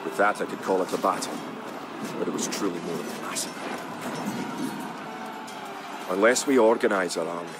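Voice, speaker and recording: An actor playing a grave, resolute medieval clansman, narrating a war campaign in a solemn, measured, dramatic style. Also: low voice, very noisy, close to an everyday voice. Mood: grim. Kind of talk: dramatic scripted storytelling narration. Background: music behind the voice.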